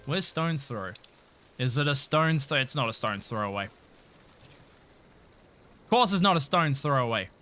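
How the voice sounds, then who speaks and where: A man live-streaming a video game, chatting casually in a cheeky, expressive way and swearing freely. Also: mid-range voice, a little slow, pauses often. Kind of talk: casual gameplay stream chat with playful swearing.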